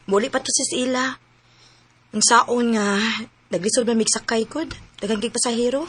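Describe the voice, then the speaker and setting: An actress with a Cebuano accent narrating and playing a kind young woman in a radio drama, soft-spoken. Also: fairly high pitch, fairly even intonation, normal speed, breathy edge. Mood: tender. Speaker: narrator and heroine.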